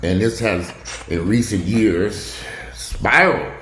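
A man's voice: fairly low pitch, slow pace, a bit bright. A middle-aged man giving a blunt, casual, opinionated monologue that wanders off on asides and includes some swearing. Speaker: vlogger commenting on news. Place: bright sunroom at home, indoors. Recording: roomy, echoey room with faint noise.

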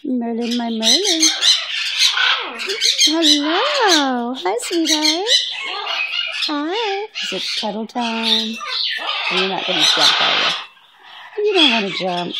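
A woman's voice: high voice, very animated, slow, pauses often. A woman talking sweet baby talk to her pet bird at home, with a sing-song, doting manner. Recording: loud background noise with bird squawks.

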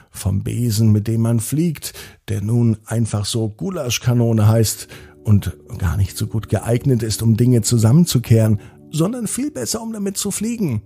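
A man narrating a scripted children's bedtime story, gently speaking to the young listener in a slightly husky, gravelly voice. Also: medium pitch.

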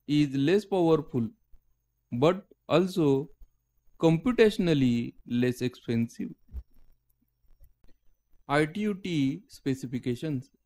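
A man reads out a prepared lecture at a slow, careful pace. His voice sits a little high for a man and has a soft, mellow tone. He breaks sentences into short, deliberate pieces. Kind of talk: scripted lecture narration.

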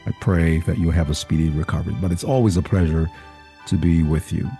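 A man's voice: very deep voice, fairly even intonation, brisk, soft background music. A man, a senior pastor visiting as guest, talking casually and repeating words as he gathers his thoughts. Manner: warm-hearted, repeats words while thinking. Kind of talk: casual podcast conversation.

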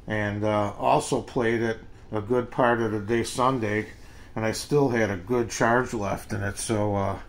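An older man with an American accent gives a casual, unscripted product review in a halting, plain-spoken way. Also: on the low side. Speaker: gadget reviewer. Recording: noisy amateur home recording.

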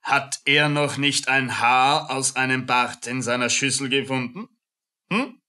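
Blustering army captain, super sharp voice, drags words out, taunting, radio play. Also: male voice, medium pitch, measured pace. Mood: sly taunting. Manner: pompous, teasing. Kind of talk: radio drama acting.

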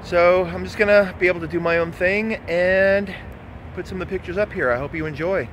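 A middle-aged man talking to a handheld camera at arm's length as he walks a city street, in a relaxed, rambling way. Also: sits high, brisk. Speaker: photography vlogger. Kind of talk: casual walking vlog. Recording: outdoor street noise.

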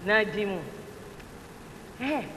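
A woman's voice: medium pitch, expressive, very slow, bright, forceful tone, full character voice. A woman with a Nigerian accent playing a brash, proud cleaner in a stage comedy, taunting and exclaiming. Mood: scolding, boastful. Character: brash, proud female cleaner, teasing and scolding. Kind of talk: comic stage acting in Nigerian Pidgin. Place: indoor theatre stage set. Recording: reverberant stage, faint noise.

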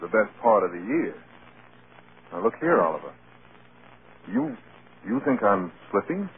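A man's voice: on the low side, wildly swooping pitch, measured pace, vocal fry, full character voice. An actor playing a proud, charming, fading male movie star with a wry, teasing manner in a vintage radio drama. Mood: uneasy. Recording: scratchy, tinny old radio broadcast.

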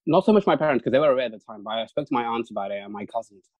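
A man's voice: medium pitch, lively intonation, dry recording, narrow, tinny audio. A young man whose first language is Urdu, talking casually as an interview guest, with many fillers and restarts. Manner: fast, chatty, lots of fillers and restarts. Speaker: interview guest, junior doctor.

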